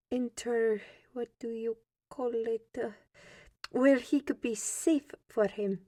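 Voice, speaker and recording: A woman's voice: fairly high pitch, mellow, no echo, studio quality. A woman playing a deferential young castle servant who hesitates and searches for words. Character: young female castle servant, polite and flustered.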